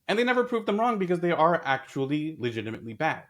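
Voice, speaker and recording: A man in a casual discussion, talking in an animated way and telling stories with acted quotes. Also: a little high, fast talker. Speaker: podcast host.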